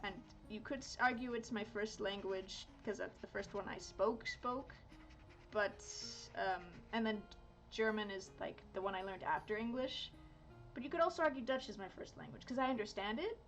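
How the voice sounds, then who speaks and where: A woman's voice: medium pitch, moderate pace, soft background music. A woman in her thirties from Miami, chatting casually while drawing on a livestream, rambling with lots of fillers and self-corrections. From Miami, United States. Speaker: art streamer.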